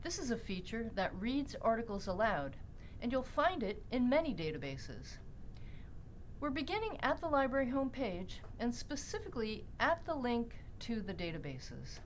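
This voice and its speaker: A woman narrating an instructional screencast in a deliberate, explanatory way with emphatic pauses. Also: on the high side, sing-song, a little hiss or hum. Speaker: library tutorial presenter.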